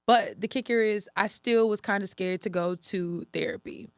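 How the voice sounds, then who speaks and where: A woman's voice: medium pitch, normal speed, clean tone, no room sound, studio quality. Upbeat chatty young woman podcaster. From Texas, USA. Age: 20s. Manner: upbeat and chatty, with frequent ums and restarts. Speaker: podcast host. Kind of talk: casual solo podcast monologue.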